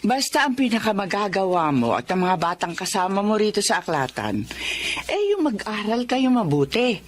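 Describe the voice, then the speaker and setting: A woman's voice: mid-range voice, very animated, normal speed, slight background noise, lightly acted. An actress playing a kindly, motherly older village woman who speaks encouragingly, in a Filipino radio drama.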